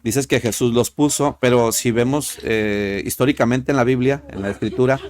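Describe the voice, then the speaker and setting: A man with a Mexican Spanish accent calls in to debate. He talks hesitantly, filling pauses with "eh" before quick bursts. His pitch sits in an ordinary middle range. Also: a little mellow. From Tijuana, Mexico. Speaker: caller. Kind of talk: call-in debate.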